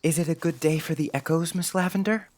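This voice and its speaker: A woman's voice: deep voice, quick pace, darkish tone. A woman playing a polite, thoughtful young boy in an audiobook drama, careful, frank and earnest. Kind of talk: scripted audiobook drama acting.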